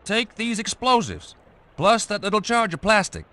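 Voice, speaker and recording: A man's voice: sits high, big pitch swings, moderate pace, music in the background. A man playing a practical covert operative in a video game, giving matter-of-fact instructions to his teammate. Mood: businesslike. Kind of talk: scripted video game dialogue.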